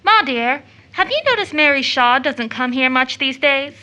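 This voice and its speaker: A woman's voice: high voice, animated, normal speed, sharp tone. An actress playing an impulsive, affectionate teenage daughter in an audio drama of an early 1900s play, with an American accent and a gushing, girlish manner. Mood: troubled. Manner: gushing, exclamatory, emotional.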